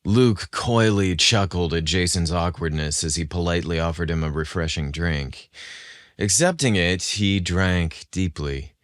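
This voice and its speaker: Male narrator for romance audio fiction, notably low register, dry sound. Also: measured pace, no echo. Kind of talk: scripted romance story narration.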